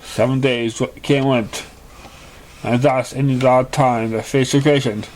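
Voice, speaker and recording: A man narrating a fantasy fan story in an amateur read-aloud style, halting and stumbling over words. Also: medium pitch, steady tone, darkish tone, a little hiss or hum, poor audio.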